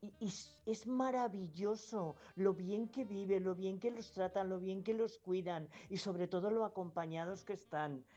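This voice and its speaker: A woman's voice: mid-range voice, normal speed, hardly any pauses, dark tone. A Spanish grandmother from La Mancha in her fifties, calling a radio show to give earnest, insistent advice, repeating herself and hesitating often. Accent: Spanish from Spain. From La Mancha, Spain. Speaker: radio caller. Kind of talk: emphatic advice on a phone-in. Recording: dull lo-fi phone-in line with faint music.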